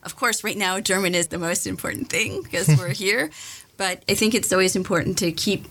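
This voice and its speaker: A woman's voice: medium pitch, moderate pace, a bit bright. A woman interviewing a young writer, asking questions and offering encouraging, supportive comments.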